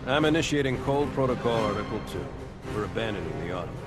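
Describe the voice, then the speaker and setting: An actor playing a calm, resolute older warship captain in a video game, measured and authoritative. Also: male voice, lowish voice, over music, lightly acted. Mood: grave, steady.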